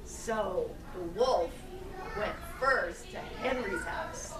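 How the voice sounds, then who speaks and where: Slow-telling woman storyteller. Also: medium pitch, expressive. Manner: slow, gentle, asks the child questions. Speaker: puppeteer and storyteller. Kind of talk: telling a children's story with puppets. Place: indoor room with puppet stage. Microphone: far camera. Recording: echoey room, far camera, noisy.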